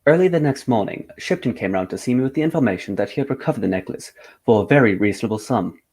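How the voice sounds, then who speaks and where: A man plays a gentleman detective in a radio drama. He has a mid-range male voice and speaks with polite formality. His delivery is composed and measured, as if laying out a case. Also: mid-range voice, lively intonation.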